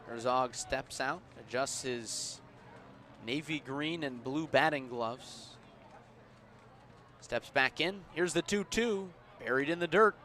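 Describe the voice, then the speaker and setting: Male radio baseball commentator, forceful bright tone, live play-by-play delivery, faint ballpark noise behind. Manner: measured between pitches, punchy and louder on plays. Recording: outdoor ballpark ambient noise.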